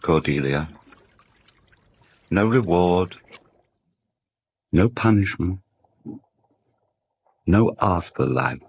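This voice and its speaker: A man's voice: deep voice, a little mellow, husky, exaggerated voice. A British actor playing a grave, fatalistic man, intoning solemnly and theatrically in an audio drama. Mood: bleak, solemn.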